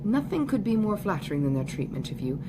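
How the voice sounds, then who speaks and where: A woman reading an audiobook, voicing a reserved, sensible young gentlewoman in measured, polite, formal speech. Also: medium pitch, big pitch swings, very noisy, close to an everyday voice. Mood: guarded civility. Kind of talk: audiobook character dialogue.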